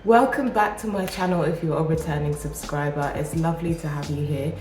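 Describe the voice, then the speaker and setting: A woman's voice: low voice, fairly quick. A woman talking to camera as a self-improvement vlogger, encouraging and casual, her voice nasal and groggy from a cold. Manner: earnest, encouraging, casual with restarts. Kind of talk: motivational talk to camera. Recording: roomy echo with music behind. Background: background music.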